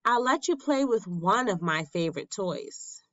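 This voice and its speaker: A woman voicing an eager, pleading little boy mouse in a squeaky, excitable way for a children's storybook. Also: unhurried, no echo, highs cut off, close to an everyday voice.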